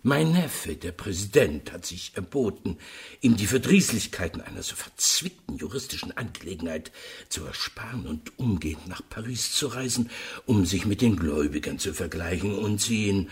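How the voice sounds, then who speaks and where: An actor playing a formal, diplomatic older uncle in a German radio play, with a rough, husky voice. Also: male voice, sits low, animated, moderate pace, full character voice.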